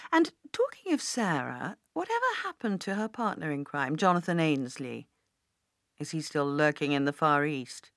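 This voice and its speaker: A woman's voice: low-pitched, big pitch swings, normal speed, dry recording, studio quality. A woman reading an audiobook as an easygoing, curious businessman with a persuasive, conversational manner. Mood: curious, casual. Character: easygoing, inquisitive businessman son, casual and persuasive. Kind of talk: audiobook character dialogue.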